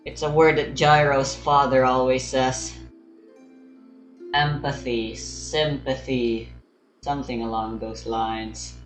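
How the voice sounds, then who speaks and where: Casual game commentator, female, very deep voice, slow and deadpan, roomy sound over music.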